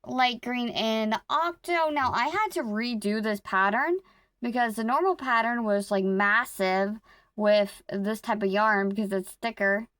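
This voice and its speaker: A woman with a somewhat high voice chats slowly and unhurriedly through a late-night crochet vlog, wandering off track and correcting herself as she works. Her throat sounds a little rough, and the sound is close and dry with no room around it. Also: a bit bright, no room sound. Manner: rambling, self-correcting, talks to viewers while working. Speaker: crochet vlogger. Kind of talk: casual chatty vlog.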